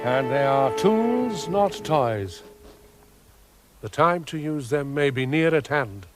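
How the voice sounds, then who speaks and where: A grand old Father Christmas with a British accent, speaking in a slow, stately way. His voice is fairly high for a man, solemn and kindly, with music behind him in a radio play. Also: lively intonation. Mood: solemn kindness. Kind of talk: dramatic radio play acting.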